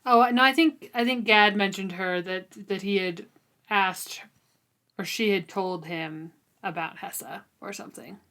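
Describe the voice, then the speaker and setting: A woman talks casually as co-host of a book discussion. She takes her time, at a slow pace, and her voice is mid-pitched. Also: sharp tone. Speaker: podcast co-host.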